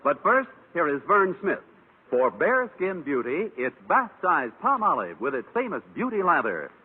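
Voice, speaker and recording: High, animated American radio announcer, man. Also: moderate pace, breathy edge. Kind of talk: vintage radio commercial announcing. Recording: tinny old radio, long reverb.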